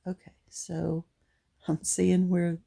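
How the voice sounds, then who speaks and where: A middle-aged woman with a gruff voice giving a chatty, rambling, unhurried voice-over for a craft tutorial. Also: lowish voice, little pitch movement, dark tone. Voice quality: gruff, gravelly. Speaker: craft vlogger.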